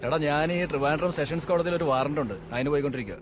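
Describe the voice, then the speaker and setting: A man playing a brisk police superior in a film, giving orders in a curt, commanding way. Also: fairly high pitch, close to an everyday voice. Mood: businesslike. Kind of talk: film drama dialogue.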